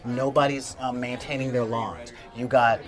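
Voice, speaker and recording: A man's voice: mid-range voice, normal speed, faint music behind. A middle-aged man on a convention panel, talking casually and acting out quoted characters as he tells a story. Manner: animated storyteller, acts out quoted voices. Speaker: panel guest. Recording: crowd noise, wind on mic.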